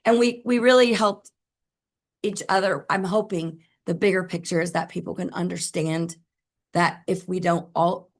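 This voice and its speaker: A woman with a hoarse voice, reporting at a school board meeting in a chatty way with many fillers and restarts. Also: lowish voice, steady tone, moderate pace. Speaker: school administrator.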